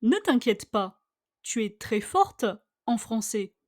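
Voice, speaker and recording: A woman with a high voice reads scripted lines for a language lesson. She goes very slowly, phrasing each line with care so that learners can repeat it. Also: very animated. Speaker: language teacher. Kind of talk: scripted dialogue read slowly for listen-and-repeat practice.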